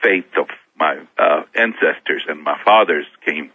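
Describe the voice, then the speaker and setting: A middle-aged man from Kenya, a guest on a talk show, telling his own story in a halting way, often restarting his words. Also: extremely low pitch, breathy edge, no echo. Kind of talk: personal testimony in a talk show interview. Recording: thin phone-like sound, dry.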